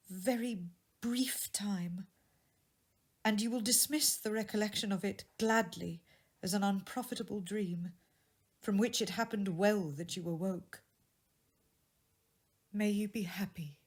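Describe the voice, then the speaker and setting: Lady doing a sad dignified young woman for a storybook, soft and earnest, takes her time. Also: medium pitch, breathy edge. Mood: sorrowful, resolute. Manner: gentle, earnest, measured. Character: sorrowful, dignified young woman releasing her changed fiancé. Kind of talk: dramatised audiobook reading of a classic tale.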